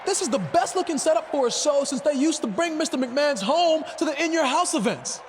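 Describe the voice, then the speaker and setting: An excitable, chatty wrestler from a video game. His voice is very high and swings animatedly as he rambles in eager, mock-serious comedy, with faint noise behind. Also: a little fast. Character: excitable, chatty, goofy male pro wrestler. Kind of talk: comic video game cutscene dialogue.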